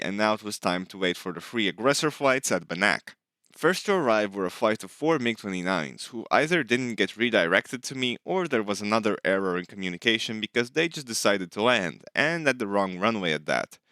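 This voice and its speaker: A man's voice: fairly low pitch, bright, forceful tone, clear, resonant tone, dry recording. A man giving a scripted voice-over narration, recapping his own gameplay as a flight sim hobbyist.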